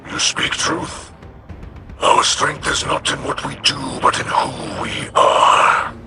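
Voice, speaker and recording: A man's voice: medium pitch, takes it slowly, lightly acted. A man playing a solemn, stoic alien warrior leader in a video game, speaking in formal, reverent, weighty phrases. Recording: music behind the voice.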